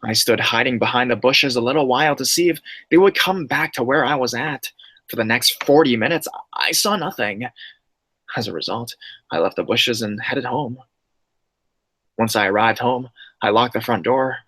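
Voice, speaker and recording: A man's voice: mid-range voice, moderate pace, sharp tone, no echo. A young adult man who reads viewer horror stories aloud and then chats loosely with his live-stream audience, full of slang. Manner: slangy, loose and chatty, calls listeners bro and dude.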